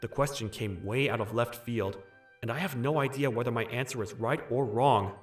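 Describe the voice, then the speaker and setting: A male actor plays a young salaryman in a manga dub, mid-pitched and expressive. He is earnest and put-upon, recounting his working life as a story, with faint music underneath. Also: normal speed, natural voice. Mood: puzzled. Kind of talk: manga dub narration and dialogue.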